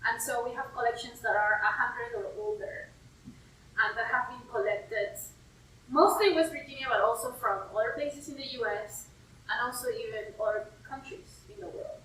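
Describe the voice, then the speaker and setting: Echoey, high, bright-voiced woman explaining. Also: animated, moderate pace. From Peru. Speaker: university herbarium curator and plant taxonomist. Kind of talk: informal explanatory interview for an online video. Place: indoor herbarium room. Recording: echoey room, distant mic, faint noise.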